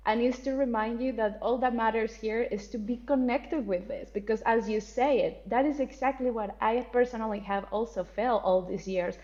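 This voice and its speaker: A woman's voice: on the high side, normal speed, few pauses, darkish tone. Woman, super candid, swears casually, laughs a lot, muffled lo-fi sound. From Colombia. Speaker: guest, spiritual teacher. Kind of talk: casual interview conversation.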